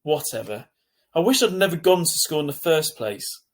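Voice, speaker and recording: A man's voice: a little high, big pitch swings, normal speed, pitched above the performer's own voice. A man voicing an upset, angry ten-year-old boy, bitter and hurt, in a children's novel read aloud. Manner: sullen short answers rising to shrieking.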